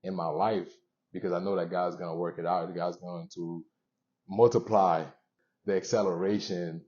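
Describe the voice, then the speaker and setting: A young man with a deep, mellow voice hosts a motivational show. He talks earnestly and conversationally to viewers, pausing between short phrases. The recording sounds a little dull and lo-fi. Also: fairly even intonation. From born in Haiti, moved around the US. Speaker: show host.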